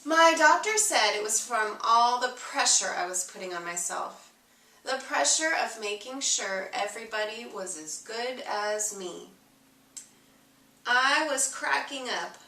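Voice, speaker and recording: A woman voicing an earnest, eager-to-please egg who tells a storybook tale, with expressive, emphatic delivery. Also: a little high, reverberant, far from the mic, full character voice, low-quality recording.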